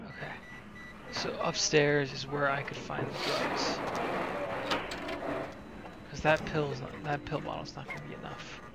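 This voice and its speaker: Gravelly, flat-toned man, noisy recording. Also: fairly high pitch, a little slow, long pauses. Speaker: gamer narrating a roleplay playthrough. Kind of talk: casual game commentary, partly in character.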